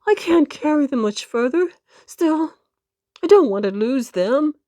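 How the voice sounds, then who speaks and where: A woman voicing a plucky, resourceful gentleman rabbit in a children's storybook, mildly thinking aloud. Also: high voice, expressive, unhurried, no echo, studio quality, voice pushed up. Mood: weary, worried.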